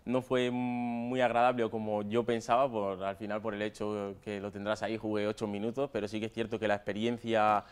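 Young male footballer, twenty, Madrid accent, smooth voice with fairly flat intonation, chatting in an interview. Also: on the low side, brisk, hardly any pauses. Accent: Madrid Spanish. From Spain, Madrid area. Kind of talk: casual interview answers. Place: stadium dugout with plastic seats.

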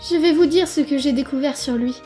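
Woman voicing an earnest, very high-pitched little boy. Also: a little fast, mellow, soft background music, lightly acted. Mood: pleading. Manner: earnest, pleading. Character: gentle, earnest, hopeful young human boy. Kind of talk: animated comic dub acting.

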